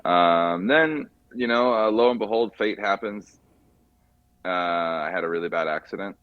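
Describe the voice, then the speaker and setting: Low-voiced man, casually rambling. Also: a little slow, dry recording, phone-quality sound. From California, USA. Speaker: podcast guest, car mechanic by trade. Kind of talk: casual chat.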